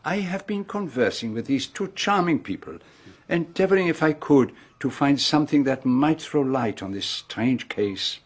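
An actor playing a courteous, methodical Belgian detective in a radio drama, with a French-speaking Belgian accent and formal, precise phrasing. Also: male voice, medium pitch, expressive, normal speed, husky.

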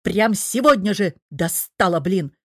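Woman acting stubborn young man, slangy, exasperated, lovestruck, audiobook character, lowish female register, dry close sound. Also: expressive, normal speed, no echo, lightly acted. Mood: furious. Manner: slangy, defiant, sighing. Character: stubborn, exasperated young tough man in love, nicknamed devil.

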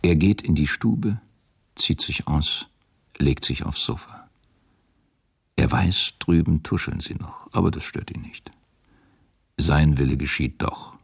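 Very deep, gravelly narrator. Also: male voice, takes it slowly, pauses often, dry recording, clean studio sound, full character voice. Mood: sombre. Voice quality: gravelly, husky. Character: grave male storyteller of a dark novel. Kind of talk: scripted narration.

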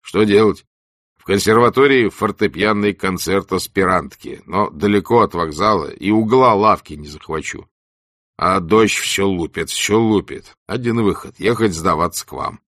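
A man voicing a gruff, wry, ailing ex-camp exile who tells things in animated colloquial speech. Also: low voice, moderate pace, dry recording, clean studio sound. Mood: rueful, animated.

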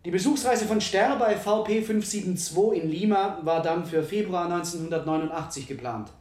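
An actor as a detective reading dry testimony. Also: male voice, high voice, moderate pace, a little mellow, reverberant, natural voice. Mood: official. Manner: official, bureaucratic reading. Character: police detective reading his court statement.

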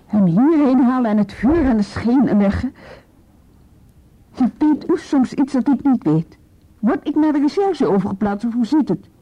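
Sceptical policewoman, slightly high voice. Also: lively intonation, moderate pace, warm tone. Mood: irritated, sarcastic. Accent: Netherlands Dutch. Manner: questioning, sardonic. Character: sharp, sceptical female police inspector, irritated by office politics. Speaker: actress in a radio drama. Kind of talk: naturalistic radio play dialogue. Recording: muffled radio drama recording.

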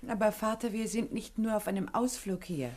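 Actress as an earnest young-hearted daughter, medium pitch. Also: female voice, a little fast, a little mellow, natural voice. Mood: anxious. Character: anxious, devoted daughter, earnest and affectionate. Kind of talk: German radio drama acting.

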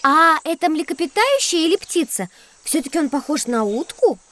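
A woman's voice: high voice, big pitch swings, normal speed, very noisy. A woman playing a sweet, curious, easily frightened little girl who loves animals, in a children's educational audio drama.

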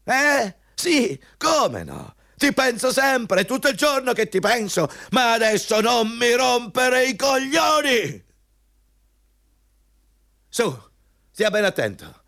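An actor in a satirical radio drama playing a bigoted, paranoid job interviewer who hectors with pompous, condescending emphasis. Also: male voice, very high voice, big pitch swings, slow, vocal fry, bright, forceful tone, full character voice. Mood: furious.

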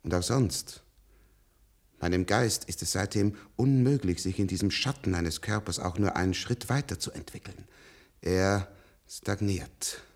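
Gravelly, weary old professor. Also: male voice, low-pitched, darkish tone. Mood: weary despair. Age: older. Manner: halting, repeating words, emphatic. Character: weary, bitter old professor, captive of medicine, longing for death. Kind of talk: German radio play acting.

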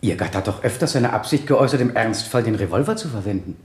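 A man's voice: on the low side, expressive, quick pace, natural voice. A man playing a relentless prosecutor cross-examining a witness in a 1970s German radio drama. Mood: pointed, doubting. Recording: roomy radio play studio sound.

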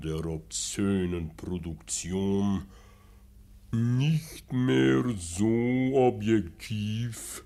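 An actor playing a self-righteous, moralizing professor in a German radio play, speaking in a formal, pompous and measured way. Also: male voice, low voice, mellow, exaggerated voice.

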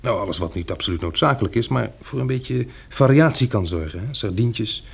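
Radio drama survivor: practical, resolute planner, gravelly and husky, thinks aloud with small hesitations. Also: male voice, fairly low pitch, animated, brisk, exaggerated voice. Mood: businesslike. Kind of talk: Dutch radio drama acting.

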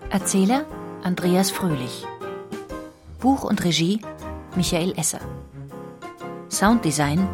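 Brief: female credits announcer, lowish voice, very slow plain delivery, clear music behind. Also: lively intonation. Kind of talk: reading closing credits.

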